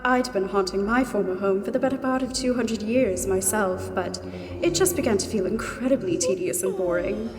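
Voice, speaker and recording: A woman's voice: medium pitch, moderate pace, soft background music. A woman playing a chatty, wry two-hundred-year-old ghost in love, in a comedy audio drama, with a ghostly reverb on her voice. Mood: wry, bored. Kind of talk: mock dating testimonial in comedy audio drama. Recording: long echoing reverb on the voice.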